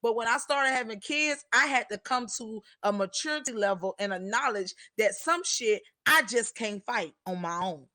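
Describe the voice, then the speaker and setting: Bright sharp-voiced woman in her 30s, blunt and cussing a lot, dry close sound. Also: a little high, normal speed, hardly any pauses, no room sound. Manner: blunt, profane, animated. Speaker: teacher and discussion panelist. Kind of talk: heated casual discussion.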